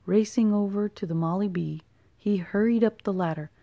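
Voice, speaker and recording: A woman's voice: mid-range voice, normal speed, warm tone. A woman reading a children's story aloud as scripted narration. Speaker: storybook reader.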